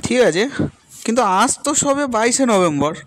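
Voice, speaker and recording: A man's voice: very high-pitched, lively intonation, moderate pace, voice pushed up. A man playing a young office worker in colloquial, questioning dialogue with an old friend in a dramatized story. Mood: puzzled, animated. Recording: loud guitar music behind voice.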